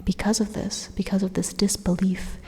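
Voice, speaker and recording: A woman voicing a sinister, condescending AI supercomputer in a breathy, half-whispered ASMR monologue, slow, deliberate and menacing. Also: medium pitch, little pitch movement, warm tone, close to an everyday voice. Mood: condescending lecturing. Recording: reverberant hall-like echo.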